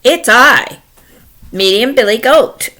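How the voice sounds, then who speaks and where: A woman's voice: a little high, animated, slow pace, pushed and bright, voice pushed up, exaggerated voice. A woman voicing a middle-sized billy goat in a storybook tale, placating and bargaining.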